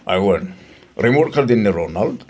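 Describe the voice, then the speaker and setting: Deep, husky landlord played by a man. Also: big pitch swings, normal speed. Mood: coaxing. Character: Fredo, older male landlord, pushy secret admirer. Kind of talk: Ilocano audio drama acting.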